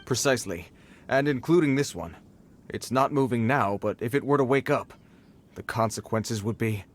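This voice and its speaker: An actor playing a formal, dutiful patrol guard who is awkward with children. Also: male voice, medium pitch, moderate pace, lightly acted. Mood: stern. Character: adult male patrol guard, stiff and dutiful.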